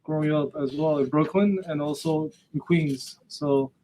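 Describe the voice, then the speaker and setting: A man's voice: on the high side, steady tone, measured pace, warm tone, slight background noise, poor audio. A man hosting an interview podcast, asking questions in a conversational way. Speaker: podcast host, interviewer, attorney.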